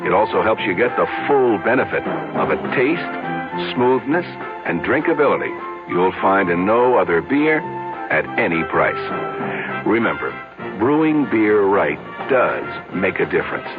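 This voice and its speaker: A man's voice: lowish voice, very animated, a little slow. A man announcing a scripted radio advertisement in a chatty, emphatic, conversational way. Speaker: commercial announcer. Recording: tinny old radio broadcast, music behind.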